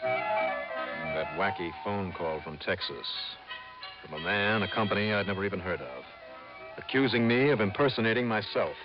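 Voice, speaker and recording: An American man playing a brisk, wry freelance insurance investigator in a 1950s radio drama. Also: lowish voice, expressive, close to an everyday voice. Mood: wry narrating. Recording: dull vintage radio recording with music.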